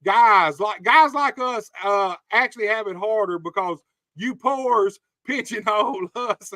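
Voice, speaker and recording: High, bright-voiced blunt wrestling host. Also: male voice, unhurried, no room sound. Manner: blunt, challenging, reads viewer comments aloud. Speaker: podcast host. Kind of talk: casual interview.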